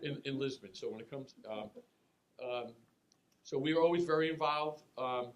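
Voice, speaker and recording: A middle-aged man, speaking on a panel in a relaxed, halting way with many fillers. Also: medium pitch. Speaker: state senator. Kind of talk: panel remarks.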